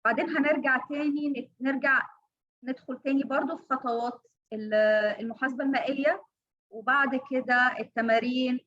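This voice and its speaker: A woman with an Egyptian Arabic accent giving an online training lecture, halting, with many fillers and restarts. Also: high-pitched, fairly bright tone, highs cut off. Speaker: workshop trainer. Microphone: online call audio.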